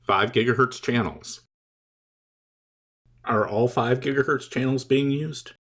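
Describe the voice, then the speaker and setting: A man reading scripted instructional narration as a tutorial presenter, explaining things in a measured, step-by-step way. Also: medium pitch, a bit bright.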